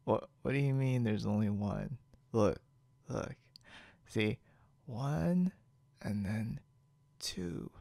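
A man playing a stubborn, boastful, confused drunk young man in an ASMR roleplay, halting and rambling with mumbled, repeated words. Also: medium pitch, warm tone, dry recording. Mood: confused, woozy. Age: young adult. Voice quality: gravelly, creaky.

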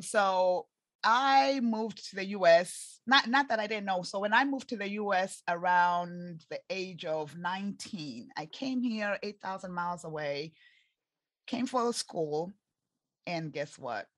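A woman's voice: mid-range voice, lively intonation, measured pace, fairly bright tone, no echo. A woman in her forties with an East African accent, earnestly telling her life story as a podcast guest. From Tanzania and Zambia. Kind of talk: interview storytelling.

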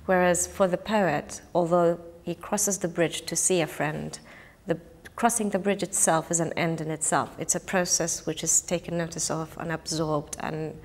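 A woman's voice: lowish voice, fairly even intonation, normal speed. A woman in her thirties, a poet from Kurdistan whose first language is Kurdish, speaking thoughtfully in a documentary interview on a lapel mic. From Kurdistan, northern Iraq. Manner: thoughtful, searching for words, gestures with hands. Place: indoors, in front of a purple wall.

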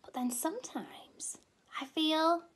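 A young woman, a nursery teacher, reading a children's story expressively and gently, as if to small children. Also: high-pitched, lively intonation, slow pace. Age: young adult. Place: indoor room at home.

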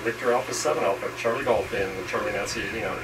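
A man's voice: fairly low pitch, fast. A man in his fifties, an amateur radio operator, making radio contacts and commenting casually to camera. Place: small radio shack room indoors. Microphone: camera across the room. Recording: noisy room, distant camera microphone. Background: loud hiss and radio noise.

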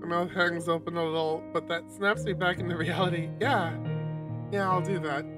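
Streamer reading as hesitant young man, wistful, soft-hearted, visual novel narration, loud guitar music. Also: mid-range voice, steady tone, normal speed, recorded from a distance, lightly acted. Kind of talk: visual novel read-aloud. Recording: music under the voice.

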